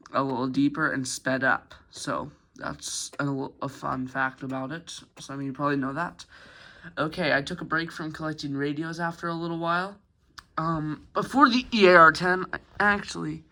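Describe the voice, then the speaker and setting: A young man giving a casual, halting, informal product showcase as a hobbyist reviewer. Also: fairly high pitch, sharp tone. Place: carpeted room at home.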